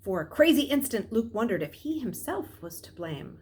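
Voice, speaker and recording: A middle-aged woman reading a novel aloud as a storyteller, in expressive narration. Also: on the high side, normal speed. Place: small furnished room at home, indoors.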